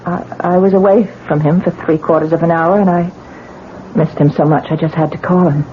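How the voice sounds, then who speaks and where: An actress playing a distraught young newlywed wife in a 1970s radio drama, stammering and defensive. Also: female voice, fairly low pitch, fairly even intonation, a little fast, a little hiss or hum, lightly acted. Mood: pleading. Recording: tinny old radio broadcast with music.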